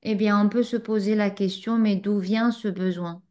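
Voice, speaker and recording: A woman spiritual teacher, whose first language is not French, answers a student in a satsang, reflective and pausing often with fillers. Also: medium pitch, steady tone, a little mellow.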